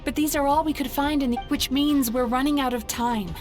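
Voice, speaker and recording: A woman plays an earnest young heroine who studies dreams, speaking with a determined, emphatic push. Her voice sits high and clear. Game music runs behind her. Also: fairly even intonation, a little fast, lightly acted. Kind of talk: video game voice acting.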